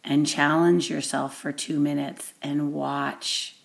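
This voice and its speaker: Woman meditation guide, low gravelly voice, takes her time w long pauses between phrases. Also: level tone. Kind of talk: guided meditation introduction, gentle instruction.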